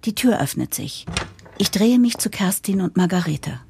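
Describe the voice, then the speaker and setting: An actress playing an earnest, hopeful young project leader, narrating a confiding, breathy inner monologue in an audio drama. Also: female voice, medium pitch, expressive, moderate pace, darkish tone, exaggerated voice. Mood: tense, hopeful.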